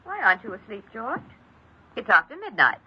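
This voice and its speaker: Old radio drama, dull sound, middle-aged mother, clipped, formal, anxious, emotionally distant, animated intonation. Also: fairly high pitch, a little fast, husky, full character voice. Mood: cool, reproving. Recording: muffled, noisy old radio recording.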